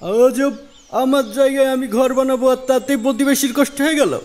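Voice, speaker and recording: A man's voice: extremely high pitch, fairly even intonation, fairly quick, a little mellow. A man voicing a stubborn, selfish village landowner in a moral cartoon, arguing dismissively. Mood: indignant. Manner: argumentative, dismissive. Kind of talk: Islamic moral cartoon dialogue.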